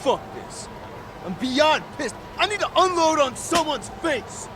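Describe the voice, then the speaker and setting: An actor playing an angry, foul-mouthed young street punk in a game cutscene. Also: male voice, very high voice, very animated, a little slow, full character voice. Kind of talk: aggressive game cutscene acting. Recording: noisy urban street ambience.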